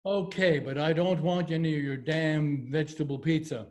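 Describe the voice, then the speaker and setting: A man's voice: on the high side, fairly even intonation, moderate pace, lightly acted. Man reading a grumpy, exasperated pizza customer. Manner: indignant, blustering complaints. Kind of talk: amateur readers theater over video call.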